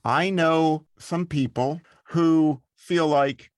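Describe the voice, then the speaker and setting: A man's voice: on the high side, fairly even intonation, very slow, no room sound, clean studio sound. A man hosting an interview, asking long questions in a friendly, encouraging way. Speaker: podcast host.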